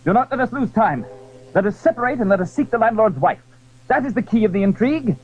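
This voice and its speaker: A man's voice: high voice, expressive, quick pace, husky, lo-fi sound, pitched above the performer's own voice. A man playing a gallant, eager young swordsman who speaks in bold exclamations in an adventure audio drama. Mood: rousing, resolute.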